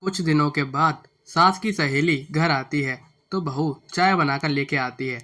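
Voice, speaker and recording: A man's voice: fairly high pitch, unhurried, sharp tone. A man narrating a scripted moral bedtime story. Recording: music bed under the voice.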